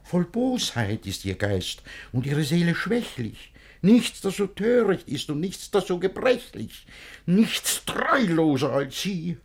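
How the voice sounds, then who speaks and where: An actor playing a jealous, possessive older guardian in a classic radio play, declaiming verse theatrically. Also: male voice, high-pitched, big pitch swings, slow pace, husky, exaggerated voice. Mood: resigned, lovesick.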